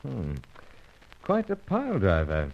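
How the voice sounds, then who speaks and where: Low-voiced, animated British agent, clipped and pipe-muffled; dull old radio drama recording. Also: male voice, takes it slowly, creaky, slight background noise, full character voice. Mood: suave. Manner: clipped upper-class British, puffing on pipe. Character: suave British secret agent, pipe-smoking. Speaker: narrator and lead. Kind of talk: 1950s radio drama acting.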